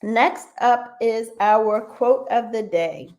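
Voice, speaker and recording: A woman hosting a live online radio interview, talking slowly with many fillers like "um". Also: on the high side. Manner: slow, warm-hearted, with frequent fillers. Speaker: radio show host.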